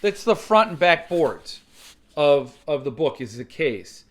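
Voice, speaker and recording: Higher-pitched man, casual unboxing commentary. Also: very animated, a little slow, fairly bright tone. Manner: conversational, opinionated, explanatory. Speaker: book collector reviewer, channel host.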